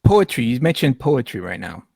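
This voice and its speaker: A man's voice: mid-range voice, big pitch swings, moderate pace, darkish tone, dry recording. A man hosting a casual interview, asking curious, prompting questions over a call. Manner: curious, prompting, with short backchannels. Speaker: podcast host, interviewer.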